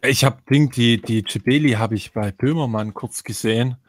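A man with a medium-pitched voice chats casually on a panel show. He is somewhat hesitant and trails off, with faint noise and clicks on his recording. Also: normal speed. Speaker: panelist on a live talk show. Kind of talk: casual chat.

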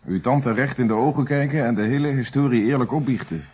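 A blunt inspector from a radio play, played by a man, speaks at a middle pitch with a slightly rough edge. He is stern and probing, lecturing as he interrogates. The vintage recording sounds dull and muffled. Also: fast, darkish tone, close to an everyday voice. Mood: scornful, lecturing.

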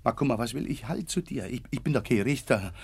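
An actor playing a gentle, pious, devoted suitor in a German radio tragedy, earnest and anxious, with a Silesian accent. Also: male voice, medium pitch, lively intonation, fairly quick, vocal fry, husky, no room sound. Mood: pleading, tender. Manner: earnest, pleading. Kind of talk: German radio play acting, dialect tragedy.

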